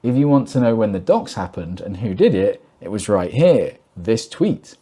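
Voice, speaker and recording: A man narrates a scripted commentary in a low, husky voice, his delivery pointed and sarcastic. His pitch swings widely as he builds each accusation, and the tone stays dark and mellow. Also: sing-song, moderate pace. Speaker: narrator of a call-out video.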